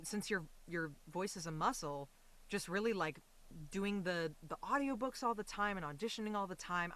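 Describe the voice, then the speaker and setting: A woman's voice: on the low side, fairly bright tone. Casual, chatty woman in an interview. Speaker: voice actor, interview guest.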